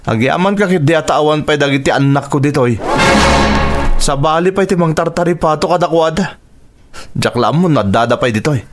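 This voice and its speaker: An actor with a Filipino accent playing a domineering, scornful husband who bullies harshly in an Ilocano radio drama. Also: male voice, on the high side, animated, moderate pace, pauses often, natural voice. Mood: mocking. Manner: harsh, bullying, sneering. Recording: music bed under the dialogue.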